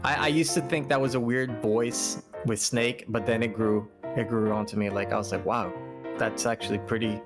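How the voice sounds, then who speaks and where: A man's voice: on the low side, fairly even intonation, normal speed. A man co-hosting a gaming podcast, chatting casually and often repeating his phrases. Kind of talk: casual chat during a game let's play. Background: music playing behind the voice.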